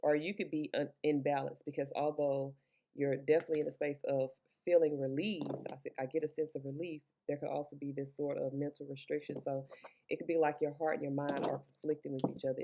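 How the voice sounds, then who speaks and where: A deep-voiced woman with a gravelly, fry-laced edge gives a loose, chatty tarot reading. She rambles, swears in passing and talks into Bluetooth earbuds. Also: little pitch movement, quick pace, mellow, slight background noise. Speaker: tarot reader. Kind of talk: casual spoken tarot reading.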